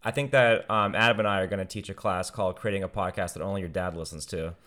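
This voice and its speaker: Low-voiced young man hosting a podcast. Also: steady tone, a bit bright. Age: young adult. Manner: friendly, leads the conversation with quick questions. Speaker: podcast host. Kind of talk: casual podcast chat.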